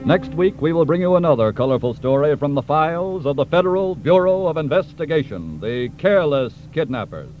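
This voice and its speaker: A male narrator reads a scripted closing with solemn, measured delivery and a lively, rising-and-falling pitch. Orchestral music plays under the dull, muffled sound of an old radio broadcast.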